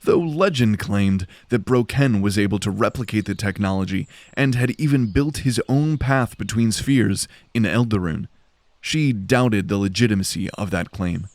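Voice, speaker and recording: A man's voice: lowish voice, moderate pace, darkish tone, dry recording. A man reading scripted, dramatic narration for a fantasy audio drama.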